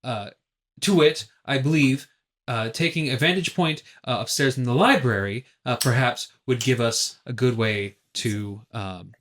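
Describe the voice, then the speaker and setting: A man playing a tabletop game, proposing actions in a halting, deliberate and slightly formal way. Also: medium pitch, a bit bright. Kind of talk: casual in-game discussion.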